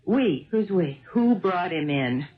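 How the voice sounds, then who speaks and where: Tinny-sounding, eager detective's wife. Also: female voice, fairly low pitch, slow pace. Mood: excited, admiring. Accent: American. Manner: expressive, questioning. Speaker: radio drama actor. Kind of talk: 1970s radio drama acting. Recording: tinny, noisy old radio broadcast.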